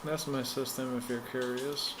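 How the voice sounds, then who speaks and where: A man from Puerto Rico with a Puerto Rican Spanish accent, a native Spanish speaker, hosting a live stream and chatting haltingly while he works, repeating words and chanting to himself. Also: medium pitch, level tone. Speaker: livestream host. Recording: noticeable background noise.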